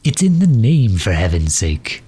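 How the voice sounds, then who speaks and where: Man playing a low, husky, pompous gentleman villain. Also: big pitch swings, full character voice. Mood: pedantic, condescending. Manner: condescending, wordy, hesitant pauses. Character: pompous, pedantic, affable gentleman supervillain, fond of his hero. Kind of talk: ASMR roleplay audio drama.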